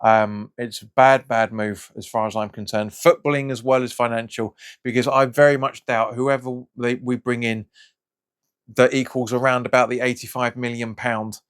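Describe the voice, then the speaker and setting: A man hosting a football fan channel, talking in a casual, frustrated, rambling way with many restarts, his voice slightly raspy and hoarse from hay fever. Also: medium pitch, level tone, normal speed. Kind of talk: casual reaction vlog.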